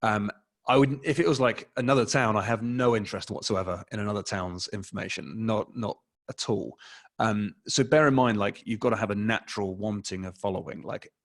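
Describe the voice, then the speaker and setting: An English man in his forties talks loosely on a video call, circling his points with ums and self-corrections. His voice sits a little low, picked up by a desk mic in a small home room. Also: a little fast. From England. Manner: rambling, many fillers and restarts. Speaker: guest, advertising expert. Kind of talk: casual interview answer.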